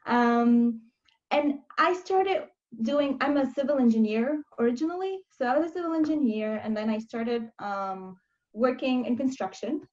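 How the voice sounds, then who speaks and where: A woman's voice: fairly high pitch, darkish tone, muffled recording. A young woman from Lebanon, a life coach, telling her story candidly in an interview over a video call. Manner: candid, storytelling, quick asides. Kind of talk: interview conversation.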